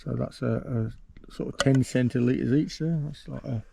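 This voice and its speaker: Gravelly English man chatting outdoors. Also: medium pitch, background noise. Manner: measured, explains at length with many restarts. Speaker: vlogger host. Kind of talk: casual outdoor review chat. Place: outdoors in woods at a campsite.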